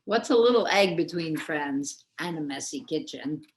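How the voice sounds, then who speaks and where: Woman w low voice, slow gentle kids storytime host, a bit of noise behind. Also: animated. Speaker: host guiding a children's story time. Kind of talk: gentle commentary and prompts to young listeners.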